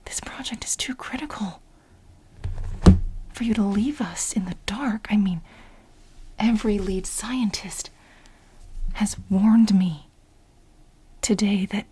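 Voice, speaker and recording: A woman playing an obsessive, exasperated administrative assistant in a soft-spoken, whispery ASMR role play, insistent and repeating herself as she pleads with her boss. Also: medium pitch, slight background noise, natural voice. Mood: urgent, exasperated. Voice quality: breathy, whispery. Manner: insistent, repetitive, halting for emphasis.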